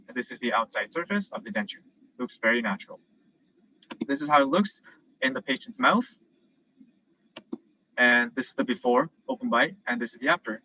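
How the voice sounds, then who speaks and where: Bright-toned man explaining casually on a call. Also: medium pitch, highs cut off. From South Korea. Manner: casual, explanatory, frequent fillers. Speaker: dental student presenter. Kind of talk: virtual presentation and Q&A. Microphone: video call.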